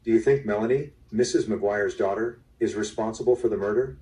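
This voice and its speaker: Male narrator, played by an actor guiding a murder mystery: mellow, on the low side, measured, slightly distant. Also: natural voice. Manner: measured, addresses listener directly. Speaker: narrator and host. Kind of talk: scripted interactive drama narration.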